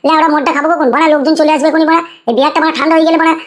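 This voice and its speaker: Very high, rapid cartoon drunkard voiced by a woman. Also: steady tone, close to an everyday voice. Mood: impatient grumbling. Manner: ranting, swearing constantly. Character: foul-mouthed drunken young village man, cartoon buddy, grumbling. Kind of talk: crude comic cartoon dialogue.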